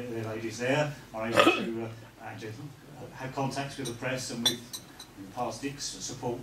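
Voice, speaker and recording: A man speaks from some distance in an echoey room with clear background noise. He is presenting fundraising cheques in an informal thank-you speech, with a halting, hesitant delivery and a middle-pitched voice. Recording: echoey, noisy room, far from mic.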